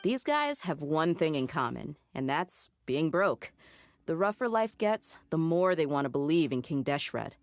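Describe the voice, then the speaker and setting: A woman's voice: deep voice, big pitch swings, unhurried, exaggerated voice. A woman playing a blunt, worldly, matter-of-fact character in a video game. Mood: frank.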